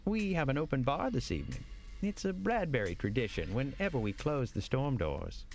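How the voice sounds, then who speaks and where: A suave, deferential hotel manager for an audio drama. His delivery is theatrical and extremely animated in pitch, with noticeable fry. Faint music plays behind him. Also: normal speed. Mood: smooth, reassuring. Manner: formal, courteous, theatrical.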